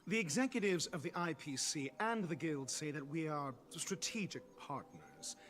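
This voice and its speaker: Haughty scholar, highish lively voice. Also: male voice, moderate pace. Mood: lecturing. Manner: pompous, condescending, formal. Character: haughty, intellectual male scholar who lectures everyone. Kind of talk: video game voice acting. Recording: music playing behind.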